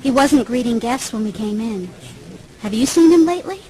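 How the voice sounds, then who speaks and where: An actress playing an earnest, polite, conciliatory young heroine in a video game. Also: female voice, a little high, lively intonation, normal speed, warm tone, lightly acted, rough recording. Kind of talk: video game dialogue acting. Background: music.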